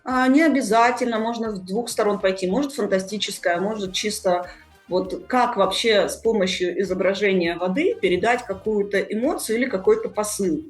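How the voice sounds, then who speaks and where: Female podcast host, conversational and explanatory, hesitant phrasing, average pitch, music bed beneath. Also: brisk. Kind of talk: casual discussion, explaining ideas.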